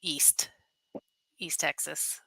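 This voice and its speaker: A woman's voice: drawn-out words, bright, forceful tone, dry recording, rough recording. A woman from California hosting a casual chat, explaining things at length with laughs along the way. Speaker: podcast host.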